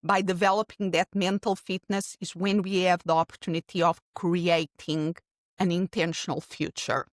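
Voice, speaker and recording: A middle-aged woman with a Portuguese accent talks through her ideas in long, earnest runs and sometimes restarts a phrase. Her voice sits a little low. The sound is dry and close, with no room echo. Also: little pitch movement, normal speed. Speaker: keynote speaker guest. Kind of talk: podcast interview answer.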